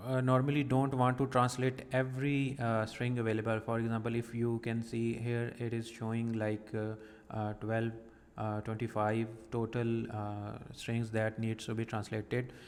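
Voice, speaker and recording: A man explaining a software setting step by step in a halting, methodical way, pausing often as he walks through a screen recording. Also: medium pitch, completely flat delivery, clean tone, long reverb tail. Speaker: software tutorial presenter.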